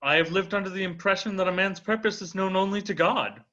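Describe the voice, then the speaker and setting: A man's voice: high voice, quick pace, bright, forceful tone. A man playing a witty, unflappable Russian count on trial in an amateur dramatic reading, formal, eloquent and dryly flippant. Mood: wryly unruffled. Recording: dull, muffled lo-fi recording.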